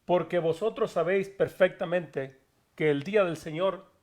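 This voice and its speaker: A man's voice: high-pitched, moderate pace, darkish tone. A man taking part in a bible study, reading verses aloud and answering the pastor with short affirmations. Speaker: congregant reading scripture.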